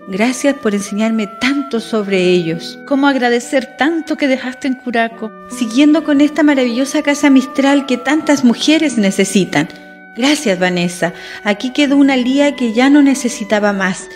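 A woman's voice: high voice, normal speed, faint music behind, lightly acted. A woman playing a woman journalist and news presenter in a Spanish-style radionovela. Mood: tender, emotional. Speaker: radio drama actor. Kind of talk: radionovela acting. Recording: long reverb.

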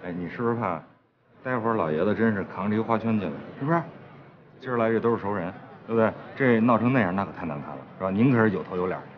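A quick-talking, fairly low-voiced loyal pal played by a man, chatty and teasing in colloquial Beijing-accented speech. Natural TV drama acting, slightly dull sound. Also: animated, a little hiss or hum, natural voice. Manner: joshing, colloquial. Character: chatty, teasing, loyal male friend of the groom, married father.

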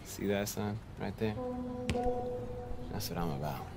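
A man's voice: lowish voice, measured pace, music in the background. A man playing a proud, teasing father and basketball star in a video game, with a cocky manner. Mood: teasing, lecturing. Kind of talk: cinematic video game acting.

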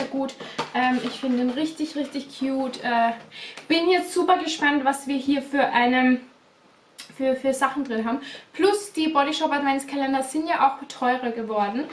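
High-voiced chatty young woman vlogger. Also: a little hiss or hum. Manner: chatty, repeats words, many fillers. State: slightly stuffy from a cold. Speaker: beauty vlogger. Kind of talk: casual unboxing chat. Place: small bedroom studio indoors. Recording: roomy echo, a bit distant.

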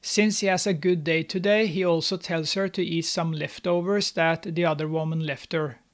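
A young man with a fairly high voice narrates a film recap, steady and scripted. His tone stays snarky and mocking as he pokes fun at the characters. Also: moderate pace. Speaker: movie recap host. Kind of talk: sarcastic movie recap narration. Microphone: studio mic, on camera.

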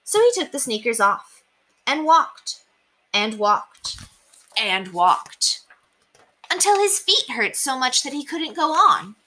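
A young woman reading children's stories aloud as an expressive storyteller. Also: high voice, slow, long pauses, low-quality recording. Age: young adult. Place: small indoor room.

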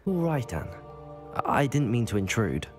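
A young man playing a polite, curious student wizard in a video game, courteous and measured. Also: mid-range voice, sing-song, natural voice. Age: young adult. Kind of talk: video game character dialogue. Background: background music.

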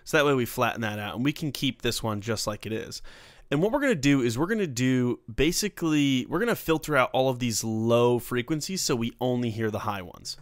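A man hosting a casual software tutorial, talking informally through each step as he works. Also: medium pitch, lively intonation, a little fast, no room sound, clean studio sound. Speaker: tutorial host.

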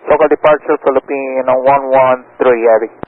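A man's voice: mid-range voice, steady tone, moderate pace. A man works the radio as an airline pilot, with a mid-range voice. He speaks in clipped procedural phrases with some hesitation. The transmission is narrow and staticky. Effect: aviation radio. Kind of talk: radio readbacks and emergency reporting. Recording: noisy, band-limited air traffic radio.

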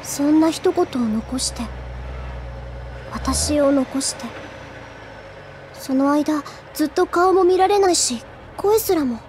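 A woman playing an earnest, shy, soft-hearted teenage schoolgirl in an anime audio drama, sincere, hesitant and reflective. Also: sits high, slow pace, long pauses, background noise. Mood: wistful. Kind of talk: Japanese anime audio drama acting with inner monologue.

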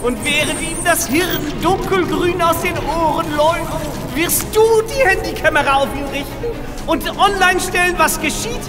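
Radio-play villain: whiny, cackling megalomaniac nerd, high voice, cavernous reverb, music underneath. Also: moderate pace. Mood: menacing. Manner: theatrical, ranting, pompous. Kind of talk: comic radio play acting. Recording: long reverb, music behind.

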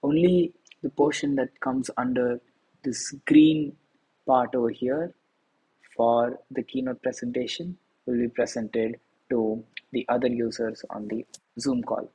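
A man hosting a screen-recorded software tutorial, explaining each step plainly with frequent fillers. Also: medium pitch, steady tone, unhurried, slightly rough, rough recording. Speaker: tutorial host.

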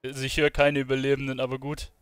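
A man's voice: medium pitch, completely flat delivery, fast, a bit bright, clean tone, no echo, studio quality, faint music behind. A man streaming a video game, thinking aloud in informal commentary sprinkled with English gamer slang. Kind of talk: casual live gaming commentary.

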